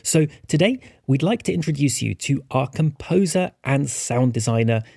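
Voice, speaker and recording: A man's voice: mid-range voice, lively intonation, moderate pace, vocal fry, darkish tone, no room sound, clean studio sound. A man hosting a behind-the-scenes podcast as one of its producers, talking casually.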